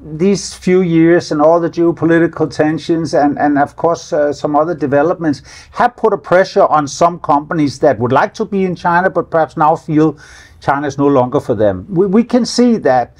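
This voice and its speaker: A middle-aged Danish man with a Danish accent speaks a little higher than most men. He answers questions thoughtfully, stumbling and repeating words as he goes. The sound is close lapel mic in a studio. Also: darkish tone. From Denmark. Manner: halting, repeats words while thinking. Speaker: business association director, guest. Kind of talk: measured interview answers. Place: studio with city-view windows.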